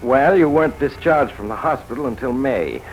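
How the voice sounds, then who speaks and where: An actor playing a calm, tactful American male physician in a 1940s radio drama, explaining patiently with small hesitations. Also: mid-range voice, a little hiss or hum, natural voice, low-quality recording. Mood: calm, explanatory. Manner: measured, hesitant with soft "uh"s. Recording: old 1940s radio recording with music.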